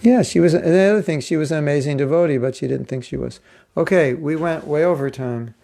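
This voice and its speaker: Gravelly, joking spiritual teacher. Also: male voice, a little high, fairly quick, dark tone. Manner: joking, rambling, chatty. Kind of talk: casual online class talk.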